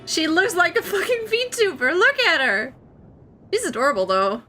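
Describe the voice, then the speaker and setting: A woman's voice: very high-pitched, sing-song, moderate pace. A Dutch woman streaming a video game, chatting casually and playfully as she reacts aloud. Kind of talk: casual live game commentary. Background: soft game music.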